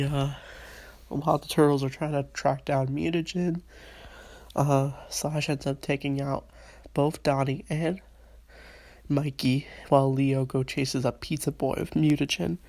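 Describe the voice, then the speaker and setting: A man's voice: mid-range voice, steady tone, unhurried, vocal fry, darkish tone. A man with a stuffy, under-the-weather voice, eagerly recapping a cartoon episode in a casual solo review.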